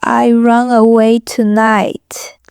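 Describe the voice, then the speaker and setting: An actress playing a frightened, guarded young woman with a thick foreign accent, speaking halting broken English in an audio drama. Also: on the high side, level tone, creaky, darkish tone, clear, resonant tone, no echo, studio quality, heavily acted. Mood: resigned, distressed. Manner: halting, broken English in short clipped phrases.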